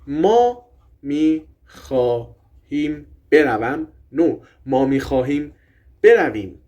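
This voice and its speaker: Excited young man explaining grammar. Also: on the high side, a little fast. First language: Persian. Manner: excited, swears, rambles with restarts. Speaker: language vlogger. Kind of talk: casual explainer talk.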